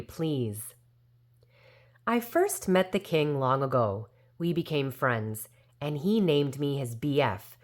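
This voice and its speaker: A woman's voice: a bass voice, full character voice. A woman voicing a very old, indignant but well-meaning fairy who tells her own storybook tale defensively and with self-assurance. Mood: haughty, proud. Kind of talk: storybook character narration.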